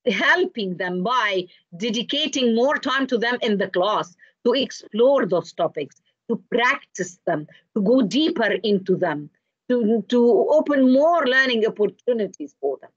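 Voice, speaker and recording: A middle-aged woman from Egypt, giving an expressive, explanatory online lecture with anecdotes over a video call. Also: a little high, husky, no room sound. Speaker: lecturer presenting to teachers. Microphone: video call, computer microphone. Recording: dull, lo-fi video call audio.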